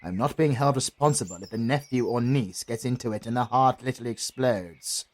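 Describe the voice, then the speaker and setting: An actor with a British accent playing a pompous, melodramatic retired dark lord in a comedy audio roleplay, husky and easily outraged. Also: male voice, medium pitch, fairly quick, natural voice. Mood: dry, grumbling. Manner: grandiose, melodramatic, sardonic.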